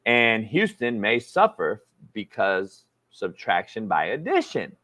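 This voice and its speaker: A man's voice: on the low side, huge pitch swings, takes it slowly, pushed and bright. A man in his forties co-hosting a casual sports talk show, needling his partner and repeating himself for emphasis. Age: 40s. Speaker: co-host. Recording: slightly dull lo-fi sound.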